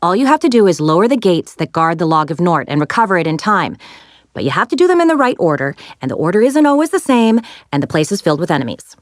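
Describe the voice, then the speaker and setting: An actress voicing a plucky, sassy young woman adventurer in a video game, expressive and wry as she briefs heroes. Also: fairly low pitch, fast talker, no room sound, studio quality. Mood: wry, urgent briefing. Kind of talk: animated video game character lines, giving mission briefings.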